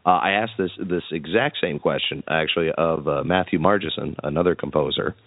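Deep voiced guy hosting a podcast, casual and jokey, dry thin phone sound. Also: a little fast, no room sound, phone-quality sound. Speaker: podcast host and interviewer. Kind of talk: casual joking podcast chat.

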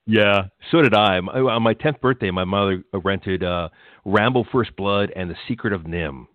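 A man's voice: lowish voice, moderate pace, no room sound. A man interviewing a guest in a casual, rambling way, restarting his questions often. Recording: tinny phone-like line.

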